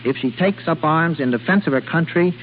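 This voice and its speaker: A man reports trivia in scripted 1930s radio style. His voice sits a little high. The old recording is phone-like with a faint layer of noise. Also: brisk. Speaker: radio show guest reporting Hollywood trivia.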